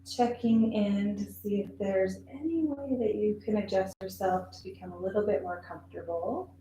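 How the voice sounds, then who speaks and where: A woman yoga instructor leading a guided relaxation meditation in a soothing, unhurried, instructional way with long pauses. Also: mid-range voice, mellow. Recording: very echoey room with noise.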